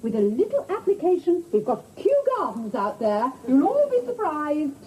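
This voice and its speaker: Theatrical woman, mock-regal, high voice. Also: wildly swooping pitch, moderate pace, warm tone, rough recording. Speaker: sitcom lead. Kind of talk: 1980s British sitcom acting. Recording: studio audience laughter and noise.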